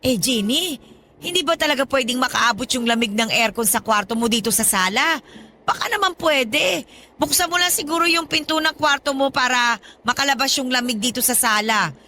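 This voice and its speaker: A woman's voice: sits high, lively intonation, normal speed, sharp tone, a little hiss or hum, full character voice. A woman playing a demanding, wheedling older godmother with a Filipino accent, chatty and nagging in a radio drama. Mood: sweetly wheedling. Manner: chatty, nagging, theatrically pleading. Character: demanding, wheedling older godmother guilt-tripping her goddaughter. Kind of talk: Tagalog radio drama acting. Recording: music behind the voice.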